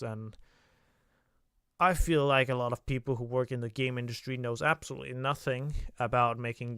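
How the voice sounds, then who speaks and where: A man's voice: medium pitch, clean tone, dry recording, studio quality. A young man hosting a casual livestream, talking in a loose, hesitant way full of filler sounds. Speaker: livestream host.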